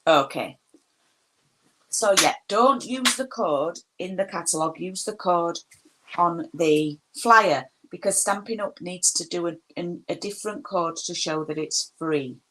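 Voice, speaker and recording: A woman's voice: on the low side, unhurried, a bit bright, slight background noise, low-quality recording. A middle-aged woman with a Yorkshire accent, chatting casually on a craft live stream from her home craft room and greeting viewers by name. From Yorkshire, England.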